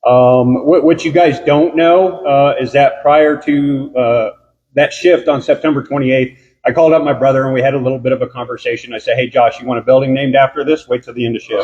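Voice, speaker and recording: A man who is a law officer speaking at his own honoring ceremony, loud-voiced, halting and earnest. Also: medium pitch, lo-fi sound, a little hiss or hum. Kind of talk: ceremony speech.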